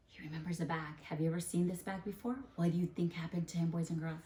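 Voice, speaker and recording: A woman teacher leading an interactive read-aloud for children, expressive and full of guiding questions. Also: lowish voice, brisk, roomy sound. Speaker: elementary school teacher.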